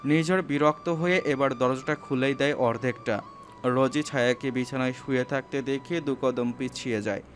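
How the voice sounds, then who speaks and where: A man's voice: medium pitch, steady tone, moderate pace. A man narrating a scripted romantic story, with music playing underneath.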